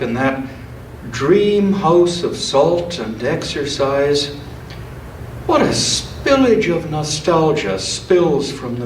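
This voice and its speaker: A man reading scripture and poetry aloud in church, with informal commentary, in a measured, deliberate, reflective way. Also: mid-range voice. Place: church, speaking to a congregation. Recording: roomy, distant mic, faint noise.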